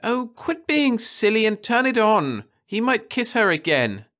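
A petulant, whiny nineteen-year-old girl, voiced by a man reading a story aloud. She talks high and shrill, wailing and sulking, with a pitch that leaps all over. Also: sing-song, measured pace, a bit bright, exaggerated voice. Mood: pleading, whiny.

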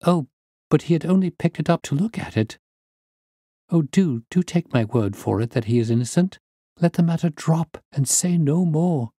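A man's voice: fairly high pitch, expressive, moderate pace, warm tone, slightly rough, no room sound, clean studio sound, pitched above the performer's own voice. A man voicing an earnest, polite young English lady in an audiobook, imploring on behalf of her cousin. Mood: pleading. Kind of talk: audiobook character reading.

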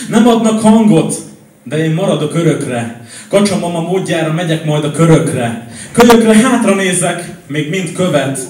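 A man with a fairly high voice delivers emphatic, rhyming spoken-word poetry. He is recorded at a live event, somewhat distant from the microphone, with strong echo around him. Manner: emphatic, rhyming, swings between muted and loud. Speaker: slam poetry performer.

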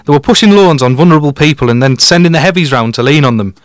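A man's voice: a little high, animated, quick pace, no room sound, close to an everyday voice. Sardonic, cocky Teesside detective played by a man. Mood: aggrieved. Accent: Teesside English. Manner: blunt, dry sarcasm. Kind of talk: audio drama staged as a podcast.

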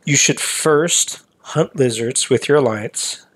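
A man talks through a mobile game event over a screen recording, his voice a little raspy. He has a relaxed, conversational delivery, pausing often with ums as he explains each step. His pitch sits in the middle range for a man. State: slightly raspy voice. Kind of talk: casual game walkthrough commentary.

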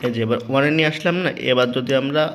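Bright-voiced Bangladeshi man demonstrating a product. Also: mid-range voice, rapid-fire. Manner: explains step by step, repeating himself and often asking for confirmation. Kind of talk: informal hands-on product tutorial. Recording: noticeable background noise, small clicks.

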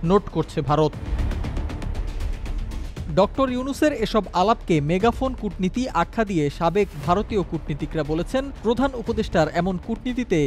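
A man with a Bangladeshi accent narrating a scripted news report in a formal, measured manner. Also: high-pitched, lively intonation. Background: background music.

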